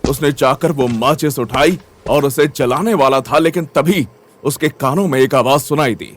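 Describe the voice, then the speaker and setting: A man's voice: mid-range voice, normal speed. A man narrating a scripted Hindi audio story in a dramatic style.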